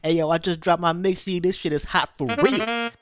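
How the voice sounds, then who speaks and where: A slick street hustler, as a male storyteller puts him on in a comedy fairytale. The voice sits well above an ordinary man's and runs on slangy, shady sales patter. Music plays clearly behind it. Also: fairly high pitch, moderate pace, fairly bright tone, voice pushed up, natural voice. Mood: slick, hustling. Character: slick, shady street-hustler con man peddling goods.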